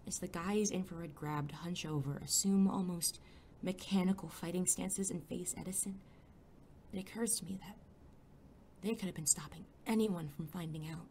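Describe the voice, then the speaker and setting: A woman's voice: lowish voice, moderate pace, a little mellow, slight background noise, lightly acted. A woman playing an anxious, sarcastic young sidekick in an audio drama, both in dialogue and first-person narration, with a wary, dry manner. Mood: uneasy narration. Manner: wary, dry, sometimes whispering.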